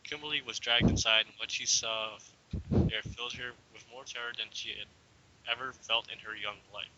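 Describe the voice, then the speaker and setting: A man's voice: mid-range voice, fairly even intonation, moderate pace, noisy background. A young man giving an amateur dramatic reading of a story, stumbling now and then and slipping into casual asides. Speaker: reader. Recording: dull lo-fi home recording.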